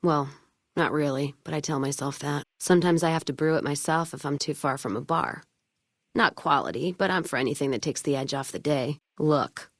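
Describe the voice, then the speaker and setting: Game role: hard-bitten, hard-drinking caravan woman, low register, blunt and wry, folksy, very dry close recording. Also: no room sound. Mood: wry, weary. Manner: blunt, wry, folksy drawling turns of phrase. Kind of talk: video game voice acting, scripted dialogue.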